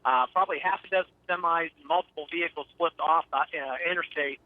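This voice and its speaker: Male storm chaser, muffled telephone line, slightly high voice, hesitant matter-of-fact eyewitness report. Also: fast, dry recording. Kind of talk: live eyewitness phone report. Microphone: phone call.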